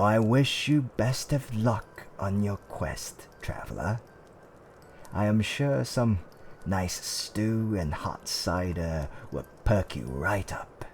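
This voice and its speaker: A man playing a gentle, courteous tavern keeper in a fantasy roleplay, with soothing, old-fashioned politeness and theatrical hums and pauses. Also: on the low side, slight background noise. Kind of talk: roleplay ASMR character acting.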